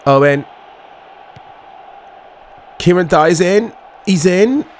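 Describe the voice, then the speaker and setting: Slow-paced man with a strained voice. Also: high-pitched, animated. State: voice strained, going hoarse. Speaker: gaming channel host. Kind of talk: casual football video game commentary.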